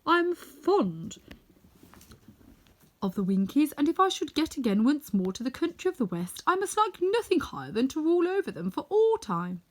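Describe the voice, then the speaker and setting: A gentle metal man from a storybook, played by a woman reader. The voice is high and sentimental, with an earnest fondness. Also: very animated, normal speed. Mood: fond. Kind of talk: children's storybook read-aloud.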